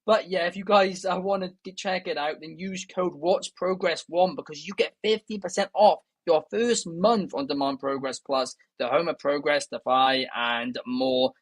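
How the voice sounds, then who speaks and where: A man's voice: on the high side, fairly even intonation, normal speed, hardly any pauses, dry recording. A young Welsh man hosting a casual wrestling news podcast, chatty and enthusiastic, turning to the viewers often. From Wales. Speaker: podcast host.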